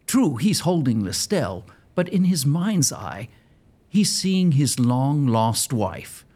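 A man narrating a scripted audio drama with suspenseful, deliberate emphasis. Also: mid-range voice, very animated, mellow, husky.

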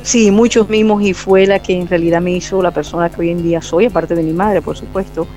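A woman from Colombia answering radio interview questions, laughing often and replying warmly. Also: lowish voice, fairly even intonation, fairly quick, faint music behind. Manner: giggly, laughs often, warm replies. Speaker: singer guest.

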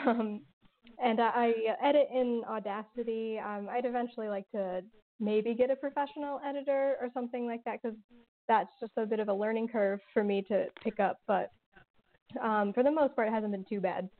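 A woman's voice: a little high, steady tone, normal speed. A woman podcast creator chatting casually in an interview, peppering her talk with "like" and "um.". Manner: chatty, many "like" and "um" fillers, sarcastic humor. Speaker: podcast creator and writer, guest. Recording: tinny phone-like line.

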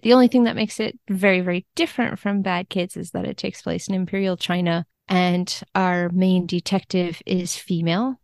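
A woman's voice: medium pitch, no room sound. Casual female podcast guest, dry close sound. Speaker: podcast guest, book translator. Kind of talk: casual chat.

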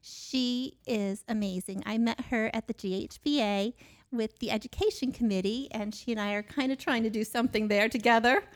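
Effusive, higher-voiced woman podcast host. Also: steady tone, normal speed. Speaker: podcast host, business owner. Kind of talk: chatty podcast hosting.

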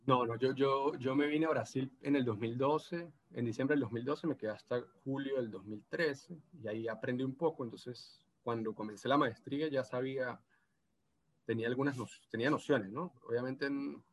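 A man's voice: mid-range voice, moderate pace. A man in his thirties from Venezuela with an Andean gocho accent, a guest talking casually and hesitantly, with many restarts. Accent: Venezuelan Andean (gocho) Spanish. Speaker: interview guest. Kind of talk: casual video interview.

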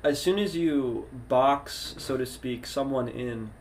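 A young man with a mid-pitched voice chats loosely on a podcast, teasing and laughing easily. He circles around his points with restarts, and a faint background hiss sits under him. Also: takes it slowly, poor audio. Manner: playful, laughs often, rambling restarts. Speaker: podcast co-host. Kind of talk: casual chat.

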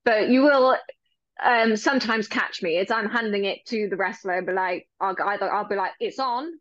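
A woman who works as a ring announcer, chatting in an interview, bubbly and quick to laugh, with a loud voice. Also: mid-range voice, dry recording, lo-fi sound. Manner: bubbly, laughs often, animated retelling. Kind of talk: casual interview chat.

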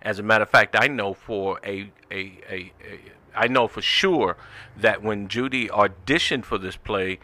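Male talk show host, bright and forceful, informal conversational interviewer with halting, repetitive phrasing. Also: on the low side. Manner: informal, halting, repeats words.

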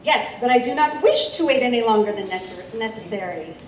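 Eager, animated lady reporter, played by a woman. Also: a little high, fairly quick, a crowd in the background, natural voice. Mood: impatient. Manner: hesitant but insistent. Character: eager, polite, impatient young woman reporter. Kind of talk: audio drama acting. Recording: roomy, distant, faint background noise.

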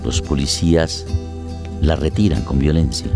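A man delivering dramatic scripted narration for a radio play in measured, deliberate short phrases. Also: a bass voice, gravelly, over music.

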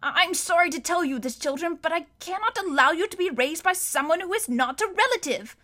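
A woman's voice: extremely high pitch, lively intonation, a little fast, pushed and bright, voice pushed up, heavily acted. Stiff apologetic storybook guy in his 40s or so, read by a lady, proper and fussy. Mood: firm apologetic. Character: fussy formal middle-aged male guardian.

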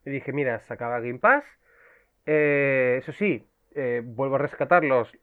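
A man's voice: mid-range voice, expressive, measured pace, clean tone. A man from the Canary Islands with a hybrid Canarian and peninsular Spanish accent, chatting casually and colloquially as a podcast guest through a thin, phone-like connection. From Canary Islands, Spain. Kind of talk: casual chat, colloquial slang. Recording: thin phone-like band-limited sound.

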